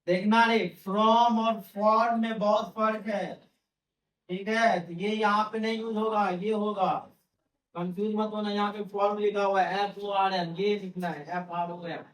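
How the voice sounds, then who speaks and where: A man's voice: high-pitched, little pitch movement, a little slow, a bit bright, distant mic. A male English teacher, lecturing a class and repeating his key phrases as he keeps questioning the students. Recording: roomy classroom, voice from off-mic.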